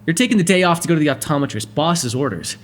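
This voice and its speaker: Man acting a wry mobster with animated pitch. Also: quick pace, lightly acted. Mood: exasperated. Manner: sardonic and conversational, swinging from exasperated to soft. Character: wry, courteous, exasperated male mafia boss, charming. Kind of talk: ASMR roleplay voice acting.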